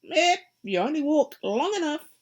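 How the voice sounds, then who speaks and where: Woman doing a sly grinning cat, high and swoopy, teasing, loud and kinda far off. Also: sing-song. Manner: high, sing-song, drawn-out teasing.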